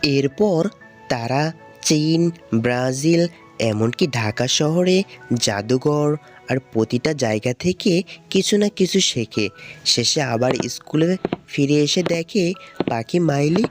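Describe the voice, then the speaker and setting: A man's voice: on the high side, lively intonation, slow, long pauses. A man with a Bangladeshi accent reading scripted narration for a cartoon story. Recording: music under the voice. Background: background music.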